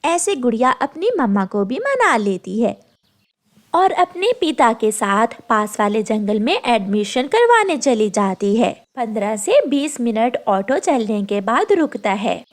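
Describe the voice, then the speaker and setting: Children's story narrator: woman, high register, highly animated scripted delivery. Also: a little slow.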